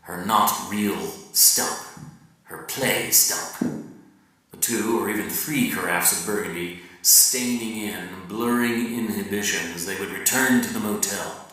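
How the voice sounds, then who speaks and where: A man giving a dramatic literary reading like a raconteur's monologue, theatrical and deliberate with his pauses. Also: lowish voice. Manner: theatrical, deliberate pauses, swinging from hushed to emphatic. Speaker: reader performing a novel excerpt. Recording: echoey room, distant from the mic.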